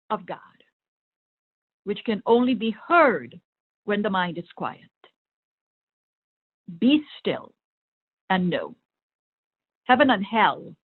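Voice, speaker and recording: A woman meditation coach giving a spiritual talk in deliberate short phrases, with long pauses between them. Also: medium pitch, slightly rough. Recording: thin phone-line sound.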